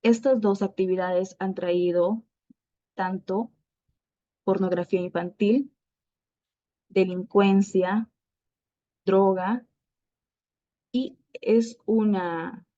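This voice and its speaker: A woman presenting at an online event, speaking haltingly with pauses and restarts. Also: medium pitch, fairly even intonation, mellow, no echo. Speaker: student presenter. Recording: dull dry lo-fi sound.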